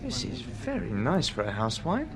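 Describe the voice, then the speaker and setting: A man's voice: medium pitch, huge pitch swings, moderate pace. An actor playing an awkward, evasive British man in a naturalistic radio drama, speaking hesitantly. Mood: casual, probing. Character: awkward, evasive man meeting an old acquaintance.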